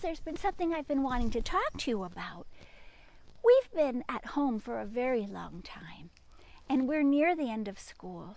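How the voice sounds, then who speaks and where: Woman primary teacher for children's story time: light high voice, very measured pace, expressive delivery, hushed asides to young listeners. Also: slight background noise, low-quality recording. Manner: sing-song, expressive, addresses children directly with hushed asides. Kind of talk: animated children's picture-book read-aloud and gentle chat to children.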